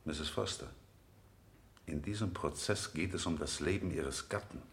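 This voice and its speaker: An actor playing an insistent defense lawyer in a radio play, questioning witnesses in a deliberate, rhetorical way. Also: male voice, very deep voice. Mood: probing, measured. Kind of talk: 1970 German radio play acting.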